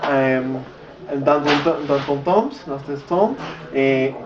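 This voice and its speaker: A man's voice: a little high, animated, slow. A young man whose first language is Spanish, interviewing a guest in French and often stopping to restart his words. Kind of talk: casual interview in a learned language. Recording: dull distant echoey recording with crowd noise.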